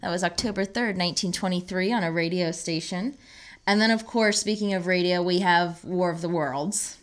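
A woman's voice: lowish voice, moderate pace. A woman co-hosting a podcast in a casual, chatty style, conversational and given to playful asides. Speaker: podcast co-host, archives manager.

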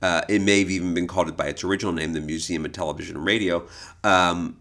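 Very low-voiced man, halting explainer. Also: fairly even intonation, fairly bright tone. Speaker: podcast co-host and expert. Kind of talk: conversational explanation of art and television history.

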